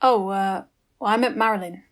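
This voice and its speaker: A woman playing a brisk, polite police officer who takes charge in a comic drama. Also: medium pitch, close to an everyday voice. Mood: businesslike.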